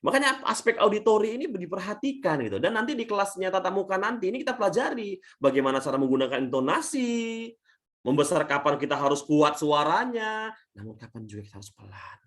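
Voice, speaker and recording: A man from Indonesia, a leadership coach giving a webinar talk over a video call, swinging between loud and soft and often addressing the audience as friends. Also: sing-song, fast talker. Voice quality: says his natural voice is thin and shrill.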